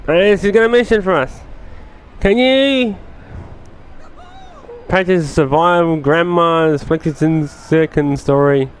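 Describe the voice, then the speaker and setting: A man's voice: a little high, slow pace, long pauses, a little hiss or hum. A man doing let's play commentary, mumbling asides and reading on-screen text aloud. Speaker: gamer.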